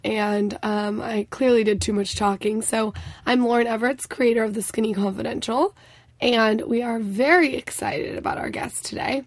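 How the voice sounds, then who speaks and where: A woman co-hosting a podcast, hoarse with her voice nearly gone, chatting playfully with her husband. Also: fairly high pitch, fairly even intonation, moderate pace, no room sound, studio quality. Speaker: podcast co-host. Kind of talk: casual banter with her husband.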